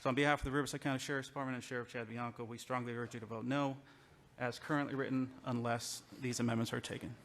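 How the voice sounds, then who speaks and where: A man's voice: mid-range voice, level tone, quick pace, a bit bright, slight background noise. A man speaking as a law enforcement representative, giving formal testimony to a committee and arguing his case point by point with emphasis. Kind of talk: formal public testimony at a legislative hearing.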